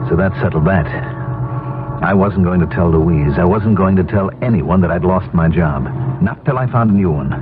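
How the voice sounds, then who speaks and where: An actor playing a middle-aged American suburban husband, weary and secretly desperate, in a 1970s radio drama. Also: male voice, low voice, moderate pace, noisy background, lightly acted. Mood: brooding, anxious. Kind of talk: 1970s radio drama acting and first-person narration. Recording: muffled old radio broadcast with loud music.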